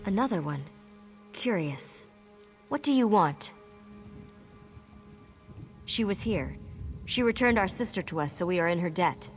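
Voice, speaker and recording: A woman's voice: fairly low pitch, expressive, unhurried, long pauses, faint music behind. A woman playing a guarded forest witch in a fantasy game, speaking in a cool, formal, suspicious way. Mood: wary, cool. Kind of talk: video game character acting.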